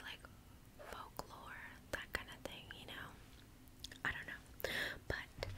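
A woman in her thirties gently whispering a story in a careful ASMR style, close to the microphone. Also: poor audio. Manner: slow, careful, unhurried delivery. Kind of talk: ASMR storytime, whispered reading of a spooky tale.

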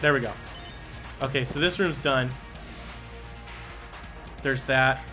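A young man giving casual, excitable commentary on a game he is playing, swearing now and then and reading the game's dialogue aloud. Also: mid-range voice, slow. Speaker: gaming video creator. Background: game music.

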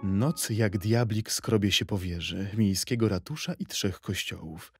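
A man's voice: fairly low pitch, unhurried, over music. A man reciting poetry in a dramatic, scripted style for an audio play.

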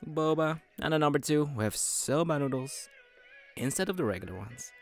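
A man voicing an obsessive, falsely sweet young male friend in an intimate audio roleplay, soft and teasing, often breathy. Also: a little high, animated, soft background music. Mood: friendly, teasing. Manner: slow, chuckling, teasing, coaxing.

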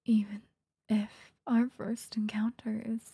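Woman as a slow, breathy, whispering vampire seductress. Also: fairly high pitch, little pitch movement, mellow, no room sound, clean studio sound, heavily acted. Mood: adoring, obsessive. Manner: slow, intimate, lingering delivery. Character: obsessive, possessive female vampire stalker, seductive and doting. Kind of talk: whispered ASMR roleplay. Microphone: close microphone.

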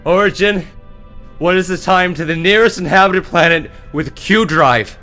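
A desperate male space pilot, acted out by a man reading an audiobook, cries out in panic. The voice is pushed high, loud and strained. Faint music plays behind this sci-fi tale. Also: normal speed.

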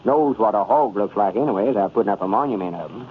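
An actor playing a vain, stubborn old country storekeeper with a folksy rural Southern drawl in a 1930s radio comedy. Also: male voice, on the low side, animated, quick pace, natural voice. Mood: musing. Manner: folksy drawl, self-important. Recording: tinny noisy old radio broadcast.

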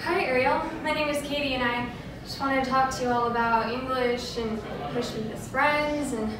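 A nervous, chatty schoolgirl acted by a young woman rambles eagerly in a high voice. The audition scene is recorded from far off in a very echoey, noisy room. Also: fairly even intonation, moderate pace, lightly acted. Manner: rambling, hesitant.

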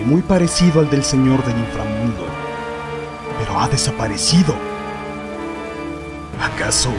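Man playing solemn knight under loud music. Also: medium pitch, lightly acted. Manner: solemn, commanding. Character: stern golden-armored knight, adult man, proud and determined. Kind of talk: anime fandub acting.

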